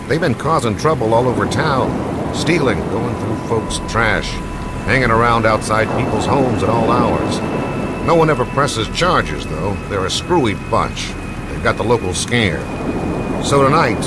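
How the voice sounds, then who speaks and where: A man's voice: fairly low pitch, close to an everyday voice. An actor playing an uneasy small-town policeman, folksy as he explains things to a colleague in game dialogue. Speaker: police officer. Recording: loud storm noise over dialogue.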